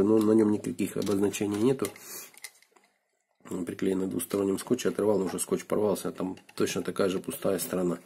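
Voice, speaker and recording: Hoarse, low, gravelly-voiced man. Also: mellow, a little hiss or hum. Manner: unhurried, thinking aloud with fillers. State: hoarse from a cold. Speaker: video blogger. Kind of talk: casual hands-on gadget teardown. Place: indoors at a wooden desk.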